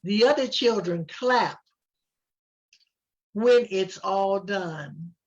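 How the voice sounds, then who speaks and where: An older woman reading a children's picture book aloud in simple, deliberate short phrases. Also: mid-range voice, expressive, muffled recording. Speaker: volunteer storybook reader.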